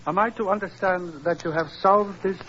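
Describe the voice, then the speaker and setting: An actor playing a dignified older Chinese prince in a 1940s radio drama, speaking formally, deliberately and with philosophical courtesy. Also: male voice, high-pitched, fairly even intonation, a bit bright, slight background noise. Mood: courteous, wounded. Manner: formal, deliberate, hesitant pauses, moralizing. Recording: husky, muffled old radio recording.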